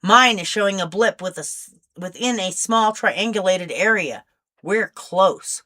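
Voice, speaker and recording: Woman reading as a bright, restless female field agent. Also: mid-range voice, animated, measured pace. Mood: focused. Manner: informal, teasing.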